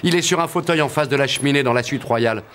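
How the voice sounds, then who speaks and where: An actor playing a brave, courteous young soldier in an animated tale, polite and assured. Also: male voice, medium pitch, fast, natural voice. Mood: confident. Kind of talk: animated film acting.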